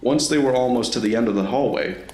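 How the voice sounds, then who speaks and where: A man's voice: medium pitch, fast talker, darkish tone, a little hiss or hum. A man reading scripted narration for an audio drama story. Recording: roomy, echoing voice recording.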